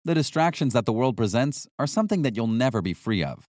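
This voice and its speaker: A man reading a scripted nonfiction audiobook as narrator, in a measured, instructional way. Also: mid-range voice, sing-song, a little mellow, no room sound, studio quality.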